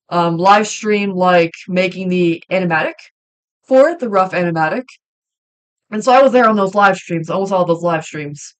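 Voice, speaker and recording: A woman's voice: lowish voice, smooth. A young woman chatting casually on a livestream while she draws, rambling and hesitant, with many ums and restarts. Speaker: livestreamer and hobby voice actor.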